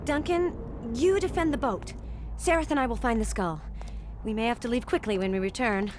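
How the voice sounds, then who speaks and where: A woman's voice: on the high side, moderate pace, slight background noise, close to an everyday voice. An actress playing an earnest, commanding young noblewoman mage in a fantasy video game. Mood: brisk, determined. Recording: game music behind the voice.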